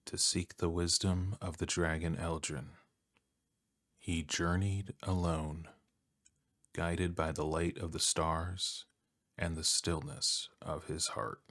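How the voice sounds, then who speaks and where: A soft-spoken man with a deep voice narrating an ASMR bedtime story in a calm, soothing manner with long pauses.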